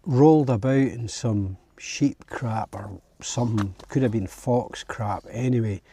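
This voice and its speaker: A man with a Scottish accent and a husky voice, chatting casually and informally to camera outdoors as a camping vlogger. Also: medium pitch, measured pace, slight background noise. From Scotland. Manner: relaxed, informal, chatting as he goes. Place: outdoors at a lochside campsite.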